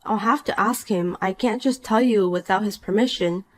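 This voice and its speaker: A woman voicing a calm, cool married young woman in a dramatized story, dry and patient. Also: mid-range voice, normal speed, natural voice.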